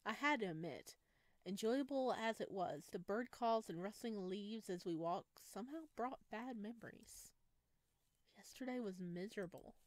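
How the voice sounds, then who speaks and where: A woman narrates a visual novel as a streamer would, reading expressively. Her pitch sits in the middle range for a woman. The sound is close and dry. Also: lively intonation, normal speed, no echo.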